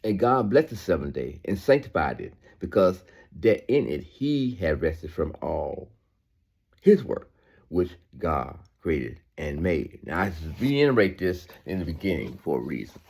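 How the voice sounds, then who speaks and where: A man's voice: low voice, big pitch swings, measured pace, creaky, a little mellow, breathy edge. A man reading scripture aloud with informal sermon remarks, emphatic and repetitive, with conversational asides that seek agreement. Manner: emphatic, repeats phrases for emphasis. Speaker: Bible reader giving commentary.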